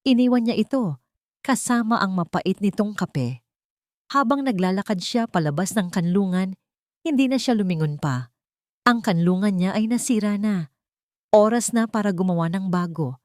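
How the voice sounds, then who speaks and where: A woman reading a scripted fiction story as a narrator, in a dramatic, deliberate way with suspense. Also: medium pitch, lively intonation, a little mellow, no room sound, clean studio sound.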